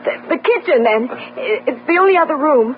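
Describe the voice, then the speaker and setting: A woman's voice: high voice, expressive, a little fast, soft background music. A woman playing a young, emotional French sweetheart in a melodramatic old-time radio drama. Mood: anxious. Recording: narrow-band old radio, faint noise.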